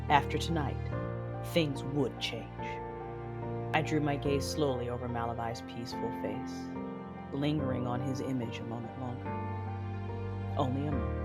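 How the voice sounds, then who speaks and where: Woman acting intense, commanding Sith lord over music. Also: rumbling low. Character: vengeful grieving female Sith lord and mother. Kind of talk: first-person dramatic narration of an audio drama. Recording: music behind the voice.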